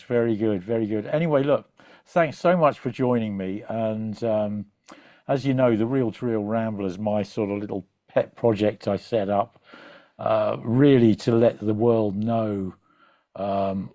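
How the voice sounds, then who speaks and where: A man's voice: on the low side, dark tone, dry recording. A man interviewing a guest in an informal, rambling, conversational way. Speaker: interviewer, host.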